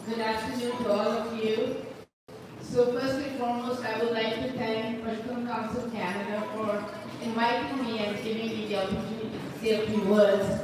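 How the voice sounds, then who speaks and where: A woman's voice: a little high, steady tone, moderate pace. An older woman from Bangladesh giving an earnest speech as a guest speaker at a community event, into a handheld mic. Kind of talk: public speech. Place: large indoor atrium at a community event. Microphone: handheld mic, filmed from a distant phone. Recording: echoey hall from far off, crowd noise.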